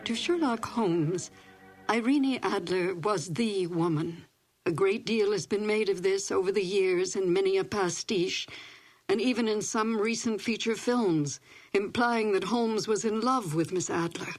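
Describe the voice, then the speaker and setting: Older woman, measured scripted presenter. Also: mid-range voice, soft background music. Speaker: presenter introducing classic radio episodes. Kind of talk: scripted narration.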